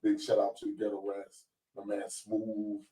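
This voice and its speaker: A man's voice: completely flat delivery, moderate pace. A man, telling rambling stories in casual chat as a podcast host. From Baltimore. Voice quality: deep voice. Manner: laid-back, rambling storyteller with shout-outs. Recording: roomy echo, distant mic.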